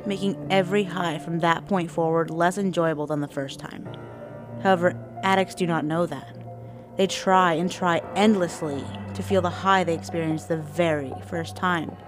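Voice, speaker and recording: A woman reads a news feature voice-over in an even, informative manner. Her voice sits a little low. A clear music bed runs beneath her. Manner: measured, informative delivery. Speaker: reporter narrator. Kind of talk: scripted narration.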